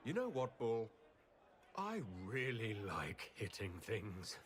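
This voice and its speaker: A man's voice: fairly low pitch, wildly swooping pitch, slow pace, exaggerated voice. A man playing a kindly, dryly humorous hero leader in a game cutscene, speaking politely. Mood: awkward. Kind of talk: video game cutscene acting.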